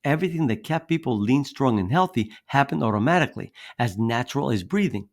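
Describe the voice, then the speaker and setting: A man's voice: medium pitch, animated, brisk. A man in his fifties, raised in Greece, giving a persuasive motivational presentation on fitness with a storytelling manner. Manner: persuasive, storytelling, with occasional restarts.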